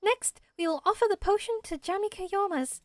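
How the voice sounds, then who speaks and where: Woman voicing a high-pitched, earnest little forest spirit. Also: moderate pace, a little mellow, dry recording, clean studio sound. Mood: gentle. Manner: quaint inverted phrasing, speaks ceremoniously. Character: small gentle forest spirit, solemn, earnest and childlike. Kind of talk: amateur voice acting of video game dialogue.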